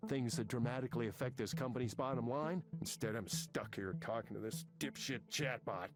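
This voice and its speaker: A man's voice: mid-range voice, brisk, natural voice. A man playing an angry, middle-aged veteran tech manager in a visual novel game, combative, sarcastic and foul-mouthed. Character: angry veteran male tech manager, arrogant, bitter, ranting. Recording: game audio with music behind. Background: music.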